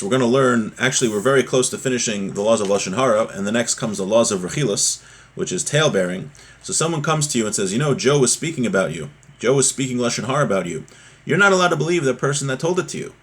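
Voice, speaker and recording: A man with a slightly husky voice giving a religious text lesson, reading aloud and explaining, repeating and rephrasing points for emphasis. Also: mid-range voice. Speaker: religious teacher giving a class.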